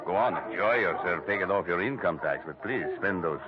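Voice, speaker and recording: Low-voiced casino boss, muffled radio. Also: male voice, animated, brisk. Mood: smooth, uneasy. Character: uneasy, placating gambling club boss. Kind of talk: 1940s radio drama acting. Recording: muffled old radio, noisy crowd ambience. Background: loud casino crowd.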